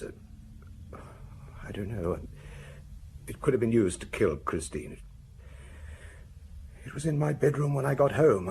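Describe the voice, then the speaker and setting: An actor playing an anxious, faltering, self-justifying murder suspect in a radio drama. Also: male voice, fairly low pitch, darkish tone. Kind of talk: British radio drama acting. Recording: noisy radio drama recording.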